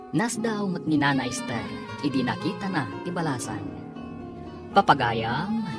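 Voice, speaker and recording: A radio drama announcer narrating from a script in a dramatic, scripted style. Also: medium pitch.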